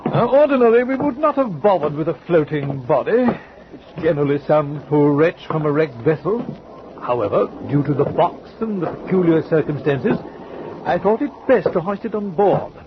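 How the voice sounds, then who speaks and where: A courteous, composed male sea captain, voiced by a man in an old radio drama, with a fairly high, lively voice that rises and falls a lot. He speaks formally, pausing now and then. The recording sounds dull, with clear hiss behind him. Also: close to an everyday voice. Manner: formal, courteous, matter-of-fact with small hesitations. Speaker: ship's captain. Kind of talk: 1940s radio acting.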